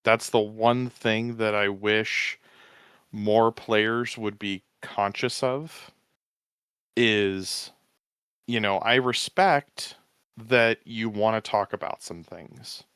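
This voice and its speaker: A man guest talking easygoing and rambling about his commentary work, with a smooth voice. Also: fairly low pitch, takes it slowly, fairly bright tone, no room sound, clean studio sound. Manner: easygoing, rambling, restarts with fillers. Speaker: podcast guest, tournament commentator. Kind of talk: casual interview chat.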